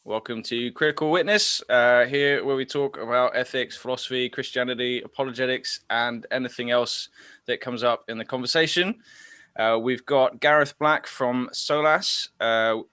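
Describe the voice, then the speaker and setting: A man's voice: medium pitch, fairly even intonation, moderate pace, bright, forceful tone, clear, resonant tone, no echo. A man hosting an online interview show, chatty and teasing with many fillers. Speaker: host.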